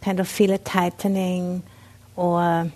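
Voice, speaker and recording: A woman from Austria with an Austrian German accent, a Buddhist nun giving a dharma talk at a meditation center, speaking haltingly with many fillers and restarts. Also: lowish voice, flat delivery, darkish tone.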